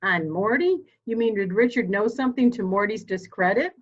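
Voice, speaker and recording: A woman's voice: medium pitch, normal speed, lightly acted. A woman playing a composed, formal older mother in a mystery drama reading, precise and guarded, in slightly dull sound. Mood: cool, guarded. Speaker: amateur reader, library staff.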